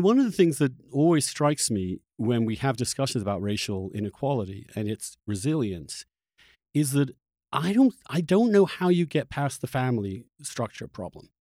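A man's voice: medium pitch, darkish tone, dry recording, studio quality. A man hosting a reflective long-form interview, who feels his way through his thoughts and often restarts phrases mid-sentence. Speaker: podcast host interviewing a guest.

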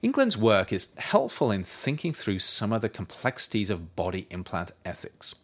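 Low-voiced man narrating with very animated intonation. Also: moderate pace, slightly rough. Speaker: author reading own book as narrator. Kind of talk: scripted nonfiction narration.

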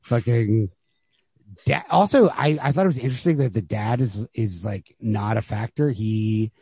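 A man's voice: medium pitch, normal speed, dark tone, husky, dry recording. A man hosting a podcast, casually discussing a story in a rambling way, retelling it with quoted reactions of his own. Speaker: podcast host. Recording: lo-fi home podcast recording.

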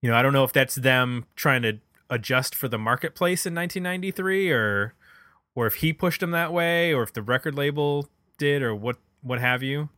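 A man with a bright, forward voice at an everyday middle pitch. He talks casually like a music podcast host, working out his thoughts aloud, and the sound is close and dry. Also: moderate pace, dry recording. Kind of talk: casual album discussion.

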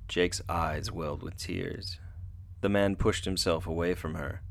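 A man giving somber, measured, scripted dramatic narration for radio theatre. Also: sits low, fairly even intonation, gravelly.

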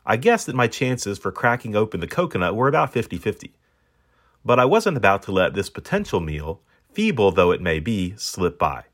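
A man's voice: lowish voice, fairly bright tone. A man reading as a pampered, proud, hungry stranded dog, narrating in a wordy, wry, self-important manner. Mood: determined. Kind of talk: first-person storybook narration in character.

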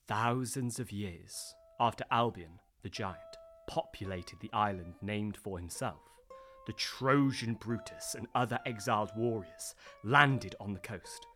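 A performer playing a storyteller who recounts an old legend in a measured, earnest way. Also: medium pitch, a bit bright, lightly acted. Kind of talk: dramatic storytelling.

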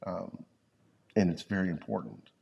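A man co-hosting a podcast in casual conversation, with a very deep, gravelly voice, speaking haltingly and thoughtfully, lying on a yoga studio floor close to the mic. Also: lively intonation, darkish tone, highs cut off. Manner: halting, restarts often, thoughtful. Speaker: podcast co-host. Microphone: podcast mic, leaning in close.

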